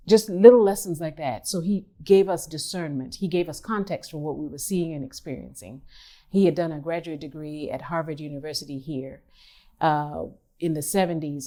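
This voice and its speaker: A woman from Kenya in her fifties, an artist who speaks thoughtfully in an interview, pausing and rephrasing as she goes. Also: low-pitched, warm tone. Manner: thoughtful, measured, with pauses and restarts. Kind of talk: reflective interview talk.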